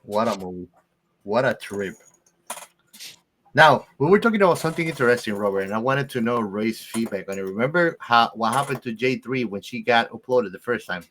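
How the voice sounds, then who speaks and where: A man's voice: mid-range voice, lively intonation, fairly quick, a bit bright, a little hiss or hum. A man co-hosting a podcast, talking casually about a film. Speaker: podcast co-host. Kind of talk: casual movie discussion.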